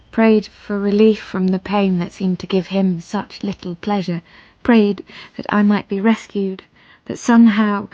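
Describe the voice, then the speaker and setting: A woman's voice: mid-range voice, darkish tone, no echo, highs cut off, lightly acted. A woman playing a suffering, earnest young wife, confiding sorrowfully in a radio drama monologue. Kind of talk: intimate first-person dramatic monologue.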